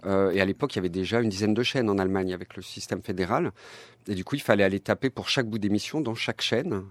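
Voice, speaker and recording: A man, a documentary filmmaker, answering interview questions in a thoughtful, halting way and repeating words. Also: lowish voice, no echo.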